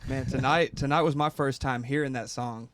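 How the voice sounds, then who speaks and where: A man's voice: medium pitch, steady tone, normal speed, a bit bright, no room sound. A male podcast host talking casually, enthusiastic and swearing freely. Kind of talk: casual conversation.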